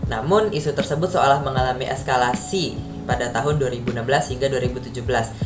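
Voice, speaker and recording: A woman's voice: very deep voice, fast talker. A young woman reading a scripted explainer as a student presenter. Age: young adult. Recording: echoey room, music behind. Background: background music.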